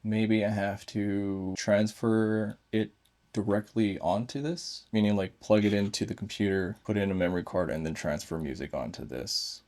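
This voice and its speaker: A man's voice: fairly low pitch, normal speed, a little mellow. A man giving a casual, unscripted hands-on gadget review, thinking aloud in short fragments.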